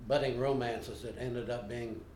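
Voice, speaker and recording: Older guy telling old stories slow, lots of uhs, echoey room, faint hiss. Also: mid-range voice, fairly even intonation, a little mellow. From United States. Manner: unhurried, plainspoken, frequent uh pauses. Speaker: interviewee. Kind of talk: unscripted recollection. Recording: roomy home video recording.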